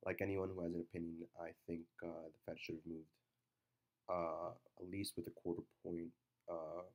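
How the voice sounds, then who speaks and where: A man with a stuffy cold giving halting, unscripted market commentary with many fillers. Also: low voice, darkish tone.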